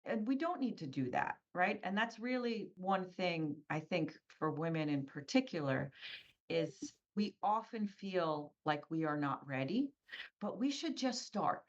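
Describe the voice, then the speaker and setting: A woman whose first language is Polish speaks in a somewhat low voice, earnest and emphatic, and she often repeats herself for weight. The sound is a little dull and lo-fi, like a remote interview recording. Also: expressive, measured pace. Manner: earnest, tag questions "right?", emphatic repetition. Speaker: guest, scaffolding business owner. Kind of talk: conversational interview.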